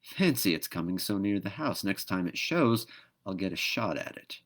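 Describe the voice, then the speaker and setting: A man's voice: deep voice, sing-song, normal speed, exaggerated voice. A man voicing a big, determined, grieving bushman father in a storybook, heavy and subdued. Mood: alert.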